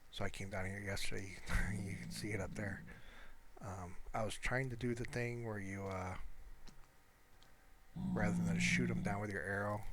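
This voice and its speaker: A man's voice: sits low, background noise. A man giving casual, rambling gameplay commentary in a hesitant, meandering way, often correcting himself. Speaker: gamer narrating own gameplay.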